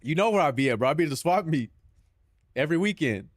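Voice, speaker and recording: A man's voice: a little high, expressive, quick pace, dry recording, clean studio sound. A young man with a Southern Californian accent, chatting casually on a stream in loose, profane banter full of "bro".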